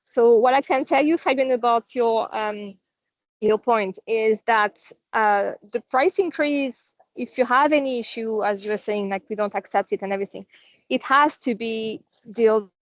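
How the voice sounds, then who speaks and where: Female call presenter, non-native English, slightly high voice, informal and explanatory, very little room sound. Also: moderate pace, dry recording. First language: not English. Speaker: presenter leading a company conference call. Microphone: online conference call. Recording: dull, dry online call audio.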